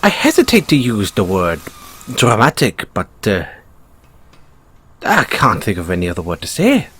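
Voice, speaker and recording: A man acts out a curious Irish bunny-boy mechanic from an audio roleplay. His pitch swings widely as he talks, and the voice has a slightly husky edge. He is polite and gently nosy, picking his words with wry understatement. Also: wildly swooping pitch, normal speed, full character voice. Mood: tactful, wry. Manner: gently probing, wry understatement, hesitant phrasing.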